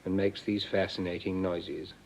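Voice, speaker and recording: A man giving measured, explanatory scripted narration for an educational record, heard on a vintage recording. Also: deep voice, level tone. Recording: dull, band-limited vintage record.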